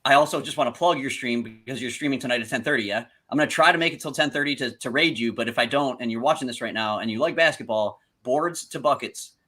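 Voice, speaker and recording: A man's voice: medium pitch, fast talker, hardly any pauses, pushed and bright. A young man hosting a live stream, chatting enthusiastically and praising his guest. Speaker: stream host. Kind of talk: casual live stream chat.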